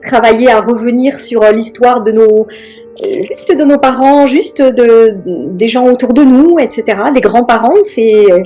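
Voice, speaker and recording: A middle-aged woman from France, speaking as an interview guest in a reflective, hesitant way with many pauses and restarts. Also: fairly high pitch, warm tone, dull audio, soft background music.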